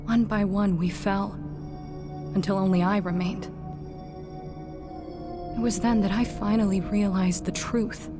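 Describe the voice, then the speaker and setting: An actress playing a solemn, disillusioned woman warrior in a video game cutscene, grave and measured. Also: mid-range voice, fairly even intonation, over music, natural voice. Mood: sorrowful, resigned.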